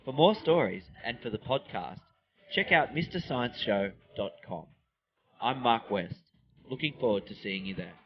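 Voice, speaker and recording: A man with a middle-pitched, lively voice reads a podcast promo as host. The recording is dull with faint noise. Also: moderate pace.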